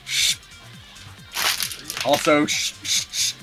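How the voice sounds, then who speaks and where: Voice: very slow, music in the background. A person giving silly, casual joking commentary and blurting playful sound effects.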